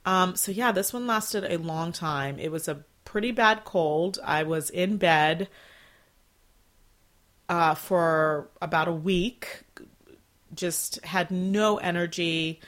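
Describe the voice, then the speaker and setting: A woman in her forties hosting a casual solo podcast from home, chatty and rambling, her voice still a little scratchy and clearing her throat after being sick. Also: fairly low pitch, slow. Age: 40s. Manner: chatty, rambling, with fillers and soft laughs. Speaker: podcast host.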